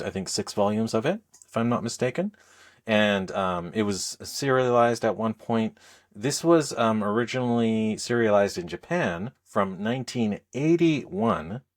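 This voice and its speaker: A middle-aged man hosting a podcast in a casual, conversational style. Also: lowish voice. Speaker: podcast host.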